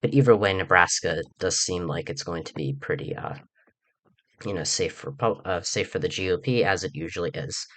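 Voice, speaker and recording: A young man with a very low yet bright, forceful voice talks through politics in a loose, rambling way, full of fillers and restarts. He sounds groggy after a recent illness. The recording is dry and close, with no room sound. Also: moderate pace, dry recording. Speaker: political channel host. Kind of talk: casual political commentary video.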